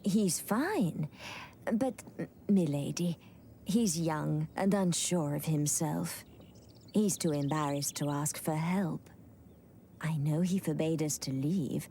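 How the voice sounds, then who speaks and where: A loyal lady-in-waiting, hesitant and worried, slow, darkish tone. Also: deep voice, dark tone, a little hiss or hum. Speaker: game character. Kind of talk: game cutscene voice acting.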